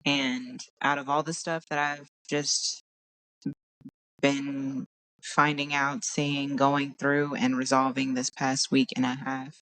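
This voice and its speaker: A woman hosting a casual solo podcast, her voice hoarse from crying, talking in a tired, meandering way and stopping to search for words. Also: very deep voice, flat delivery, slow pace, a bit bright, rough recording. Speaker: podcast host. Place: at home.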